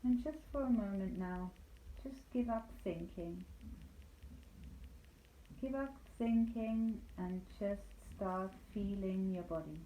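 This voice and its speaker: A woman guides a meditation as a yoga nidra instructor. Her tone is mellow and relaxed, with a soothing, deliberate delivery full of pauses. The recording is roomy and echoing, with a faint layer of background noise. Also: mid-range voice.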